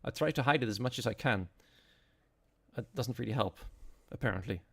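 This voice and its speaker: A man's voice: medium pitch, brisk, gravelly, fairly bright tone. A man with a Dutch accent, speaking English as a non-native, giving live commentary as an esports racing commentator. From Netherlands.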